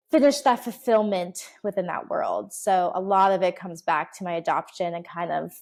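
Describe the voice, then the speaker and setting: A woman's voice: on the low side, gravelly, darkish tone. Bubbly, chatty young woman guest. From United States (Oregon). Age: 20s. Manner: bubbly and chatty, laughs often, many fillers. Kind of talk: casual interview chat.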